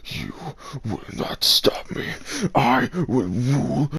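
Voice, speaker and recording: A man voicing a huge, menacing villain dragon in a dramatic audio roleplay, gravelly and husky, gloating theatrically. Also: mid-range voice, lively intonation, takes it slowly, a bit bright, dry recording, heavily acted. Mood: furious, defiant.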